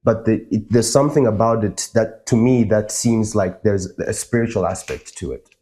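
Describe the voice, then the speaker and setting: A man from Zambia hosting an interview, asking measured, thoughtful questions. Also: on the low side, warm tone. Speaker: interviewer, host. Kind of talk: conversational interview.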